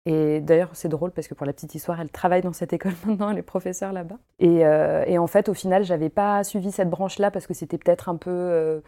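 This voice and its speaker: Thirties woman, craft guest, mellow and lowish, talkative with easy laughter. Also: steady tone, quick pace, few pauses. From Paris, France. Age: 30s. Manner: chatty, many fillers, laughs easily. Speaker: guest, costume maker and embroiderer. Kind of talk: casual interview chat. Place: her home.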